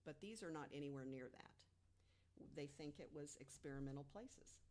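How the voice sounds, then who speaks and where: Low-voiced woman explaining on a podcast. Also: moderate pace, warm tone, slight background noise. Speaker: podcast guest panelist. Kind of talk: informal podcast storytelling from research notes.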